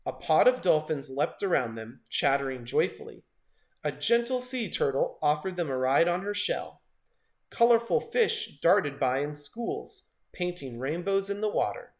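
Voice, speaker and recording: A man narrating a children's story in an expressive, dramatic read-aloud style. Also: a little high, a little slow.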